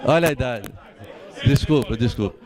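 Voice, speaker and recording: A man's voice: medium pitch, moderate pace, crowd noise. A tired seventy-year-old Brazilian man making a rambling, sentimental, informal address to a meeting. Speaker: retired police officer and association advocate.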